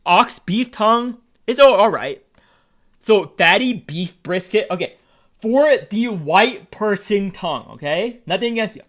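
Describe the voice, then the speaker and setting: Young man, casual streamer, provocative and crude, high voice that swings a lot. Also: lively intonation, unhurried, fairly bright tone. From United States. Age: young adult. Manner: brash, joking, crude and provocative.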